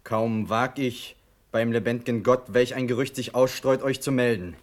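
A man acts an excited officer addressing his superior in a classical radio drama. The voice is mid-pitched, deferential and emphatic, pressing on with urgency. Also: close to an everyday voice. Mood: agitated, awed. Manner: urgent, emphatic, halting declamation. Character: deferential, excited officer bearing astonishing news.

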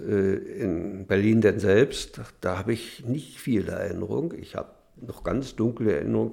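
Older gravelly man recalling hesitantly. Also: lowish voice, a little mellow. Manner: halting, with fillers. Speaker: witness recalling childhood. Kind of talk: hesitant spoken memories.

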